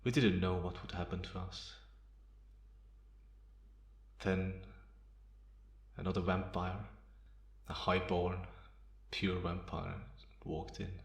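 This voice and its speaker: A man playing a calm, menacing male vampire in an ASMR roleplay, speaking in a deliberate, taunting way in a roomy, echoing space. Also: low voice, gravelly, heavily acted. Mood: somber, reminiscing. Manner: deliberate, softly chuckling, taunting. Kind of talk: ASMR roleplay, slow intimate monologue.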